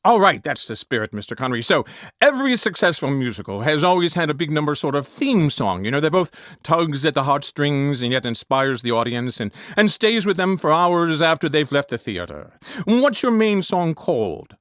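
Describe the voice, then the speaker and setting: A man playing a formal, hesitant interviewer in a comedy sketch, often clearing his throat. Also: a little high, big pitch swings, normal speed, fairly bright tone, no room sound, studio quality. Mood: politely awkward. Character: polite, awkward male celebrity interviewer.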